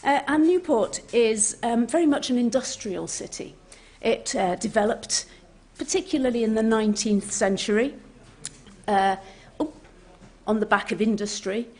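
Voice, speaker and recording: A woman's voice: fairly high pitch, normal speed, darkish tone. A woman from Wales, giving a prepared conference presentation with informal, friendly asides to the audience. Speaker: conference speaker, heritage official. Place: conference venue, speaking to an audience.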